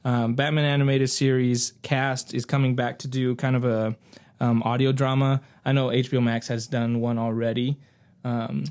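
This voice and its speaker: A man's voice: medium pitch, level tone, normal speed, a little mellow, clean tone. A man with a middle-range voice hosts a podcast. He talks through news items in a casual, conversational way. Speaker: podcast host.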